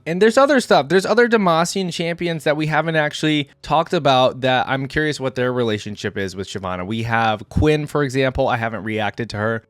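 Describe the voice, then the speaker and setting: A man's voice: medium pitch, smooth, dry recording. A man giving casual reaction commentary as a video host, chatting directly to his viewers in a conversational way. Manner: conversational, addresses viewers directly as guys and gang.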